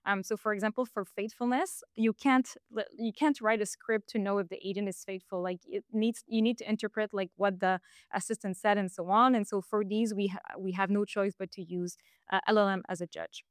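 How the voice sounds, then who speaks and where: A woman's voice: medium pitch, fairly even intonation, fairly quick, dry recording, clean studio sound. A woman from Quebec with a Quebec French accent, speaking English as a second language, explaining things as an interview guest with many fillers and restarts. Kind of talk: casual interview.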